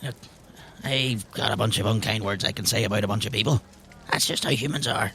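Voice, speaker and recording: Man playing a gravelly, evasive old pub drinker. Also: fairly low pitch, sharp tone, a little hiss or hum. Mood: curt, indignant. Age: older. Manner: halting, defensive, repeats himself. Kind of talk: improvised tabletop roleplay.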